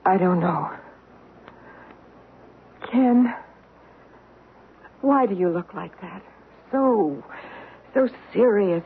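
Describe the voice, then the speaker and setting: An American actress playing a wealthy, poised society lover in an old-time radio drama, speaking in a polished, coaxing, theatrical way. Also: female voice, mid-range voice. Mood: wistful. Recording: muffled, noisy old radio broadcast.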